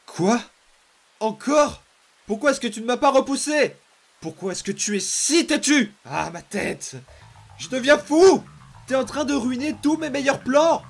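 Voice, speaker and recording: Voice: high-pitched, lively intonation, measured pace, creaky, husky, exaggerated voice. Menacing, unhinged demon boy, acted out. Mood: furious, unhinged. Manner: menacing, taunting, theatrical outbursts and mutters. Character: vicious, unhinged young demon boy, obsessive and domineering. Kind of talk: dramatic comic fan-dub acting.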